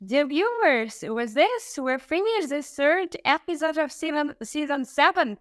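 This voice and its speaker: A woman's voice: sits high, expressive, a little slow, no room sound. A woman co-hosting an online talk show, thanking the guest and signing off in an upbeat way. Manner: upbeat, welcoming sign-off. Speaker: co-host.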